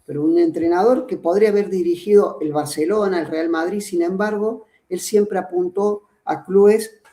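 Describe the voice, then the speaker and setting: Guest coach, Argentine (Córdoba) accent, middle-aged man, pitched a bit high, mellow; thinks aloud with lots of "eh"; roomy, echoey recording. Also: normal speed. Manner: explanatory, thinks aloud with many fillers and restarts. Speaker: guest, football coach and trainer. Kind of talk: podcast interview conversation. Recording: roomy echo.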